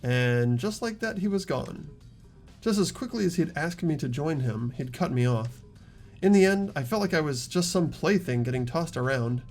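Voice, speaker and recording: A man's voice: on the high side, lively intonation, moderate pace, a little mellow, soft background music. A man voicing an earnest, anxious teenage schoolboy protagonist, narrating dramatically as in a visual novel. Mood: flustered, dejected. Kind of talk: visual novel character reading.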